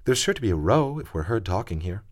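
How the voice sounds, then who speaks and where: A man voicing a timid little girl, youngest of her siblings, in a high, small, worried voice. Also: very animated, quick pace. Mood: anxious.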